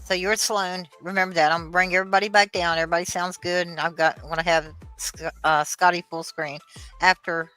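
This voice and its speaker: Lady co-host, bright punchy voice a bit on the low side, bossing the stream casually, faint music. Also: little pitch movement, a little fast, rough recording. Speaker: co-host organizing a script reading. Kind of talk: casual chat. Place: live streaming call.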